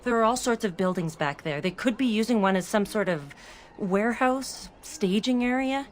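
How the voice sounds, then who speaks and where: A woman's voice: medium pitch, expressive, normal speed, a little hiss or hum, lightly acted. An actress playing a worried, determined townswoman in a fantasy game, earnest and urgent. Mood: insistent, determined. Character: worried, determined female city-dweller seeking missing people.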